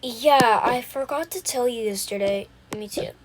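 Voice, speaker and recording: Slow-paced, high-voiced young woman, noisy recording. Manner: unscripted, halting, with repeated self-talk. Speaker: video creator voicing a roleplay. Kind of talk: improvised roleplay voiceover. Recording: noisy home recording with clicks.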